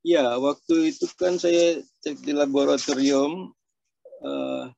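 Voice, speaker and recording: A man's voice: on the high side, steady tone, slow pace, vocal fry, a little mellow. A man from Surabaya giving a candid, chatty testimonial over a video call, with a self-deprecating manner. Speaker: program participant giving a testimonial. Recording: dry, dull lo-fi call.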